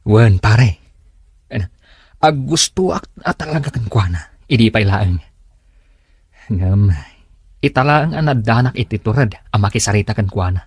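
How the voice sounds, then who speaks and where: A man's voice: lowish voice, animated, unhurried, long pauses, a little mellow, slightly rough, dry recording, clean studio sound, exaggerated voice. A man acting a bashful, lovestruck guy in a radio drama. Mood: bashful, hopeful. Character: bashful lovestruck man confiding in his buddy.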